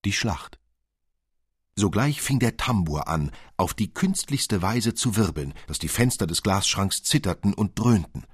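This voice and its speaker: A man narrating a literary fairy tale for radio as an expressive storyteller. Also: on the low side, a little slow, slightly rough.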